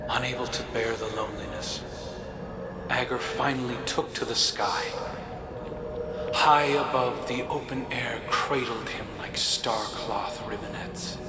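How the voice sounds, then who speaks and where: Brooding young hunter for a game cutscene, male actor; measured slow delivery, medium pitch, earnest and hushed, loud score beneath. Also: fairly even intonation, close to an everyday voice. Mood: wistful, hushed. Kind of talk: dramatic game cutscene acting. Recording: loud music under the voice.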